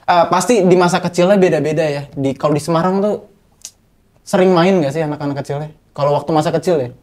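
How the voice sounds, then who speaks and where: A man's voice: on the high side, steady tone, fast talker, a little mellow. A young man hosting a casual podcast chat, playful and slangy, cracking jokes as he asks questions. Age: young adult. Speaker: podcast host.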